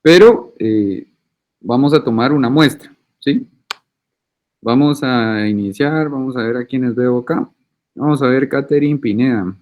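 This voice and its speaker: A man's voice: medium pitch, unhurried, a little mellow. A man, a university lecturer taking roll and teaching in an informal, teasing way over a video call. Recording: tinny phone-like call audio.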